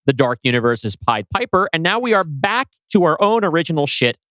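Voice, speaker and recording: A man's voice: on the high side, sing-song, fairly quick, a bit bright, no room sound, clean studio sound. A man co-hosting a podcast, talking casually with wry banter. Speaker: podcast co-host and scriptwriter.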